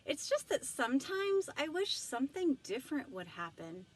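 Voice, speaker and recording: Woman performing doting wife in a children's book, sweet, emphatic, gushing, very animated intonation. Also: sits high, normal speed, pitched above the performer's own voice. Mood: wistful. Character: sweet, doting married woman wishing for surprises.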